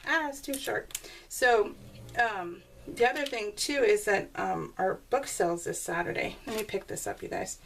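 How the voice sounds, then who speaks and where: A woman's voice: mid-range voice, moderate pace, gravelly, far from the mic, a little hiss or hum. A woman chatting casually in a rambling, personal storytelling way, like a crafting vlogger talking as she works. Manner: chatty, animated when retelling conversations. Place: indoors at a craft table.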